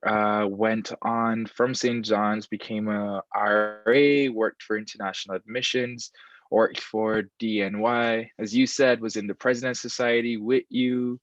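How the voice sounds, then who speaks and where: A man's voice: fairly low pitch, fairly even intonation, unhurried, no echo. A man in his twenties from Guyana, talking casually as an interview guest, repeating himself with many fillers. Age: 20s. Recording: dull lo-fi sound.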